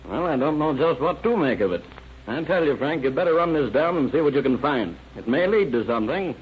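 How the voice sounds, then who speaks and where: An actor playing a measured, authoritative sheriff in a 1930s radio police drama, questioning people deliberately. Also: male voice, a little high, expressive, breathy edge. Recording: dull, lightly noisy old radio recording.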